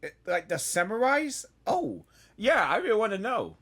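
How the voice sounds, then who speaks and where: A woman's voice: medium pitch, very animated, moderate pace, fairly bright tone, slightly rough. A woman giving casual, rambling, exclamatory reaction commentary as she plays a game. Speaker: gaming reaction video host. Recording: game music in background.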